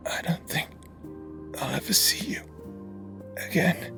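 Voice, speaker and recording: An actor playing a weary, tender husband in a dramatic audio roleplay, speaking wistfully and murmuring with breathy pauses. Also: male voice, over music, close to an everyday voice. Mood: tender, solemn.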